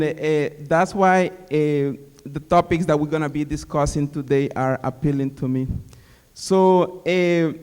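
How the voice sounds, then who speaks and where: A man's voice: a little high, a little mellow, clean tone. A man from Honduras, giving a hesitant panel talk as a city official, with many fillers. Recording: reverberant hall.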